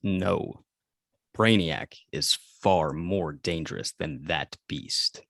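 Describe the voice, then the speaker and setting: Low-voiced stoic robot guardian, played by a man. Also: creaky, fairly bright tone, dry recording, studio quality, exaggerated voice. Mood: grave. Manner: formal, measured delivery. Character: stoic alien robot guardian, protective and unyielding. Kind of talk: script table read.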